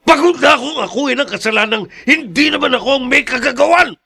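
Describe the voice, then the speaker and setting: An actor playing a weary middle-aged father burdened by a family secret, speaking gravely and insistently with a husky, gravelly voice. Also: extremely high pitch, expressive, brisk, sharp tone, exaggerated voice. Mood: angry. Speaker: father figure named Mariano. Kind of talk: radio-style drama acting.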